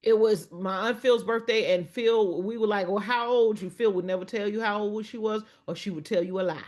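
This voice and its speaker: A woman in her early fifties hosting a relaxed chat with friends. Her voice sits in an ordinary middle pitch, and her talk is lively and folksy, with easy laughter. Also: brisk. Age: 50s. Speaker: podcast co-host. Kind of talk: casual chat.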